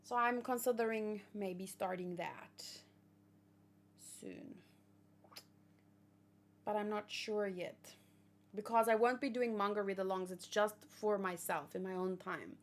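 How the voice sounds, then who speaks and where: A woman's voice: medium pitch, a little slow, long pauses. A woman hosting a casual manga read-along, chatting in a rambling way with lots of fillers and restarts. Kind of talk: casual chat and reading aloud.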